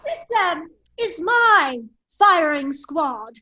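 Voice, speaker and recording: A foppish, secretly daring gentleman played by a woman in a radio drama. The voice sits extremely high and takes its time, with affected courtesy and a giggly, teasing edge. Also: very animated, very slow, heavily acted. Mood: gleeful mockery. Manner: affectedly polite, drawling, giggly. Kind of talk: theatrical radio drama table read.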